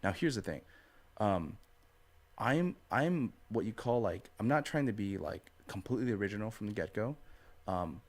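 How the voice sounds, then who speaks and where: A man's voice: on the low side, very animated, moderate pace. A man speaking as a guest artist in a casual podcast interview, thoughtful and hesitant, repeating phrases and checking in with "right" and "you know". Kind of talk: casual podcast interview, explaining art process.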